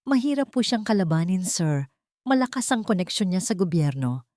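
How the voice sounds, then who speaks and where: A woman's voice: lowish voice, sing-song, normal speed, darkish tone, no echo, clean studio sound. A woman with a Filipino accent voicing a deferential investigator giving a grave report in an audio story. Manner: respectful, serious.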